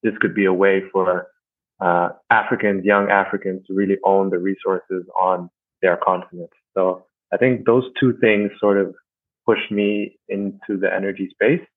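A young man from Rwanda and Canada, a guest on an interview, speaking thoughtfully with many pauses and fillers. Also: low voice, little pitch movement, dry recording. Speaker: guest, energy company manager. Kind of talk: casual interview talk. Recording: dry, phone-like line.

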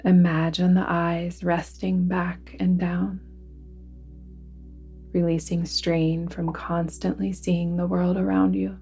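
Mellow, creaky-voiced woman guiding relaxation. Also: on the low side, level tone, soft background music. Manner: soothing, deliberate, hushed phrase endings. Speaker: yoga nidra guide. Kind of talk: guided relaxation meditation narration.

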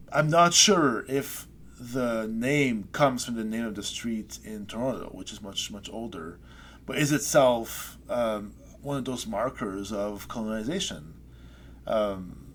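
A man, a poet being interviewed, talking things through slowly with many restarts and trailing pauses. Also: on the low side, a little hiss or hum. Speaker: poet guest. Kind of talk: reflective interview chat. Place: Saskatoon resident.

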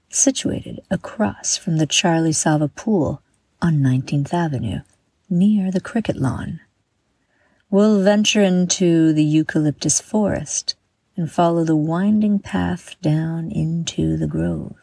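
A woman guiding a meditation from a script in a soothing, measured manner, with breathy exhaled phrases. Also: fairly low pitch, warm tone, no echo. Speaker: meditation guide and host. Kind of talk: guided meditation, scripted narration.

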